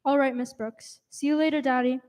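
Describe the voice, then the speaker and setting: A woman acting as an anxious, dutiful teenage girl in an old-time radio comedy. Her voice is high and echoes with the long reverb of a hall. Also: steady tone, a little fast, a little mellow, natural voice.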